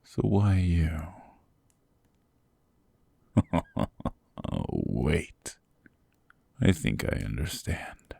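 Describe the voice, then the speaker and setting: A man voicing a cold, sadistic, possessive demon king in an ASMR roleplay, talking slowly and mockingly in gravelly whispers close to the mic. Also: extremely low pitch, big pitch swings, warm tone, no echo, full character voice. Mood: cold disdain. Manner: slow, mocking, condescending. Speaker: voice actor.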